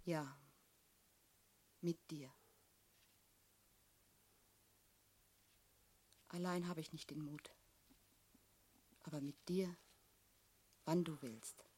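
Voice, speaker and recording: An actress playing an anxious, devoted woman in a German radio drama, husky and breathy, earnest and intimate. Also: low voice, fairly even intonation, slow pace, long pauses, dark tone, exaggerated voice. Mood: resolved, tender. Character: anxious devoted woman, gentle, sharing his despair.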